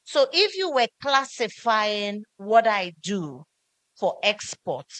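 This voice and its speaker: A middle-aged woman, an actress on a panel, speaking deliberately in rhetorical questions. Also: mid-range voice, big pitch swings, fairly bright tone, no echo. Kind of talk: panel discussion remarks. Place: conference stage.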